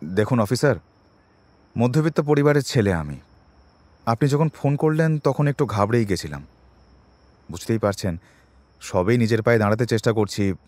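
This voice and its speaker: A man's voice: medium pitch, animated, moderate pace, pauses often, darkish tone, no echo, lightly acted. An actor in an audio drama playing a defensive middle-class man who explains himself at length to the police, mixing in English words. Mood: defensive, uneasy. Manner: wordy, self-justifying, mixes in English words.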